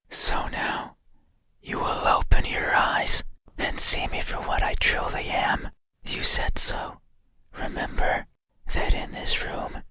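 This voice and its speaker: A performer playing a lonely, menacing monster in an ASMR horror game, speaking in breathy whispers with slow, deliberate, halting phrases. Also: close to an everyday voice. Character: lonely, menacing bedside monster yearning to be seen.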